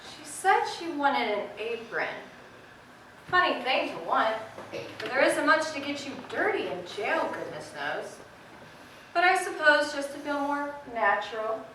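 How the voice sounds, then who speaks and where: A woman's voice: high-pitched, very animated, a little slow, bright, forceful tone, heavily acted. A woman playing a timid, dutiful, rule-anxious sheriff's wife in an amateur stage play, with hesitant, polite rural phrasing. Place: indoor theater stage, distant microphone. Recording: echoey stage from far off, faint noise.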